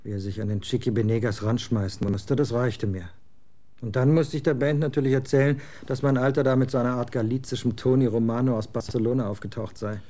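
A man's voice: mid-range voice, natural voice. An actor playing a scornful young man of twenty in a radio drama, talking in blunt slang. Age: 20s. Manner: slangy, scornful, quick to get excited. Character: young man, 20, moody rocker son, scornful. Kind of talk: German radio drama acting.

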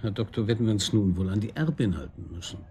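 An actor playing a wry, probing police detective in a radio drama, with a husky voice and a dry, colloquial manner. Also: male voice, on the low side, lively intonation, quick pace, darkish tone, slight background noise. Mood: musing. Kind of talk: naturalistic German radio drama acting.

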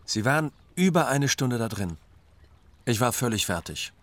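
A man's voice: mid-range voice, expressive, normal speed, close to an everyday voice. An actor playing a weary, matter-of-fact man recounting events interview-style in a radio drama. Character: weary, matter-of-fact male friend of the bereaved.

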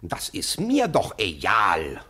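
Imperious investigator, classic German radio drama. Deliberate pace, very animated intonation, sarcastic and blustering. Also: male voice, fairly low pitch, slightly rough, heavily acted. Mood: stern, self-assured. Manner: theatrical, emphatic, sarcastic, swinging from muttering to barking. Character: imperious, blustering male investigating official, pedantic and easily outraged. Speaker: actor.

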